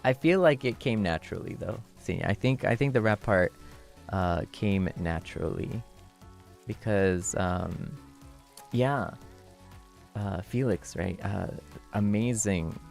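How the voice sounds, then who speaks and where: A young man streamer chatting casually with viewers, laughing often and thanking them warmly, moving between a low relaxed voice and a higher one. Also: slow pace, creaky, soft background music. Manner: shifts between low chill voice and high voice.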